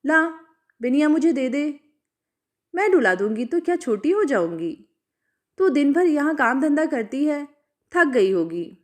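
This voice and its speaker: A woman voicing a sharp-tongued ageing village widow in a storybook reading, blunt, probing and rustic in manner. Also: sits high, sing-song, unhurried, long pauses, voice pushed up. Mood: softened.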